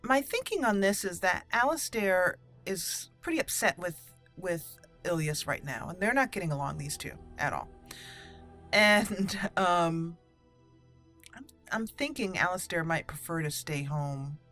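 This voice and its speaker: A woman's voice: lowish voice, lively intonation, unhurried, fairly bright tone. A woman giving casual, chatty roleplay commentary on a video game, playfully thinking aloud. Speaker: gaming video host. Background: game music.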